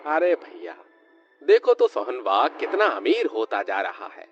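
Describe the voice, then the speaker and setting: A man's voice: mid-range voice, huge pitch swings, unhurried. A man playing a rustic villager who speaks in country dialect in a cartoon horror story. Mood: scheming. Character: rustic male villager, scolding or conspiratorial. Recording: muffled lo-fi audio with music behind.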